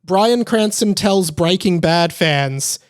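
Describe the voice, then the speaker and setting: A man's voice: high-pitched, animated, takes it slowly. An Australian man hosting a podcast, giving casual, opinionated commentary in an emphatic, conversational way. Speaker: podcast host. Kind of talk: casual solo pop-culture commentary.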